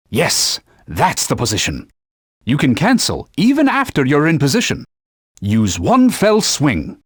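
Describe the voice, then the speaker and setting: An actor playing a stern, proud talking sword who guides a hero with formal, old-fashioned speech in a video game. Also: male voice, medium pitch, breathy edge, no room sound, clean studio sound, heavily acted. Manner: formal, stern, archaic phrasing. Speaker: sword companion giving gameplay hints. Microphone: dry studio booth.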